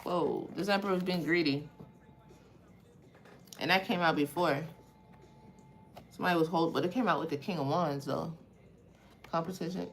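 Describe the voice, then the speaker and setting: A woman with a Haitian accent and a low, deep-set voice talks through a live tarot spread. She questions each card out loud in a loose, profane, conversational way. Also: normal speed, long pauses, a little hiss or hum. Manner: casual and profane, questions the cards aloud. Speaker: tarot reader. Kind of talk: live tarot reading, thinking aloud.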